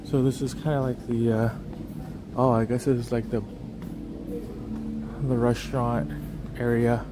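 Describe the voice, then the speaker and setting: A man's voice: medium pitch, flat delivery, a little slow, music in the background, poor audio. A young man walking through a beach resort, talking casually into a phone on a gimbal, rambling with many fillers and restarts. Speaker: travel vlogger. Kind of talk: casual walking tour commentary.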